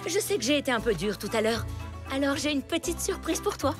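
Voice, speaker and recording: A woman's voice: sits high, lively intonation, fairly quick, over music. A woman playing a coaxing, insistent mother in a children's cartoon, with a sugary, firm way of persuading. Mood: coaxing, upbeat. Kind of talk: cartoon dub acting.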